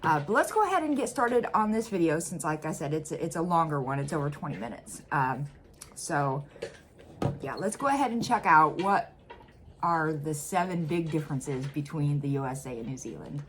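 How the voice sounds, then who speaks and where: A woman's voice: deep voice, lively intonation, normal speed, slight background noise. A woman from the United States hosting a reaction video from home, chatting casually with plenty of ums and uhs. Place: home room.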